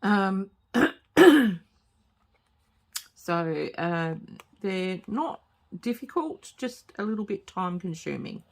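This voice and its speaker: A woman with a slightly croaky voice, hosting a casual craft tutorial at home and talking hesitantly with many fillers. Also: mid-range voice, big pitch swings, very slow, long pauses. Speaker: crafting channel host. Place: home craft table, late at night.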